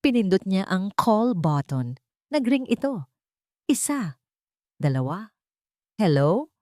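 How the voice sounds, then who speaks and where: A woman reading a dramatic scripted audio story with an expressive, suspenseful delivery. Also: mid-range voice, slow, no room sound, clean studio sound. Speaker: narrator.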